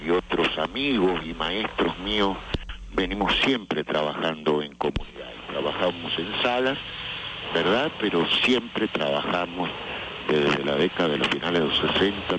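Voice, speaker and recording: An older man, a theatre organizer, answering interview questions thoughtfully with many pauses and fillers. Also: low-pitched, very noisy. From not Venezuela.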